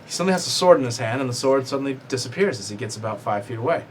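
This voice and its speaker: A man's voice: medium pitch, animated, rapid-fire. A man running a tabletop game as game master, narrating with playful laughs and many fillers. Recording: noisy room recording.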